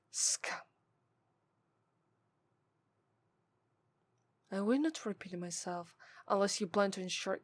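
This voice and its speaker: Casting: woman to play a femme fatale demoness, contemptuous and seductive, Italian accent, formal ASMR delivery slipping into whispers, mid pitch, very dry sound. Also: no room sound, lightly acted. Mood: irritated, commanding. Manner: haughty, deliberate, formal, dropping into breathy whispers. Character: haughty, scornful, seductive female demon, summoned and contemptuous. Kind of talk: ASMR roleplay voice acting.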